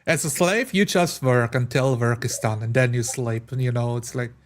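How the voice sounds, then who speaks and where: A man's voice: mid-range voice, normal speed. A man chatting casually as one of the players in a tabletop roleplaying group. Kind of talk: casual chat.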